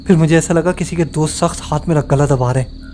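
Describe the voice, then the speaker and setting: A man's voice: fairly high pitch, a little fast, natural voice. A man playing an impulsive, curious young university student in an audio drama. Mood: shaken. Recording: loud ambient background noise.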